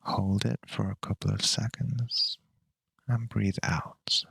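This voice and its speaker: A man leading a guided meditation in a calm, measured, unhurried way with long pauses between phrases. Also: on the low side, creaky, slightly rough, no echo.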